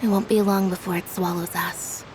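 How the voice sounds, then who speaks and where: A woman's voice: on the low side, little pitch movement, normal speed, very noisy, natural voice. A woman playing a reserved, melancholy young woman in a video game, speaking in a subdued, wistful, brooding way.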